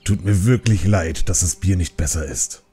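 Old panda brewmaster, played by a man: very low voice, jovial, courteous, kindly storyteller, light music underneath. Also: normal speed, mellow, husky. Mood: genial, apologetic. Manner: good-natured, polite, chuckling. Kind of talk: audiobook character acting.

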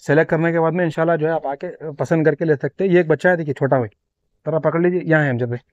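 Fast, high-pitched Hyderabadi man, dull sound. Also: steady tone, dry recording. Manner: repeats answers back, peppers talk with pious phrases. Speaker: video host interviewing a livestock farmer. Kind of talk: conversational promotional farm interview. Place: outdoors on a grassy farm yard.

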